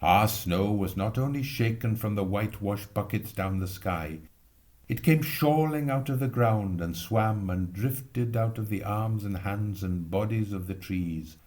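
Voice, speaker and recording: A man reading a literary story aloud with expressive narration. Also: lowish voice, unhurried. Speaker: reader.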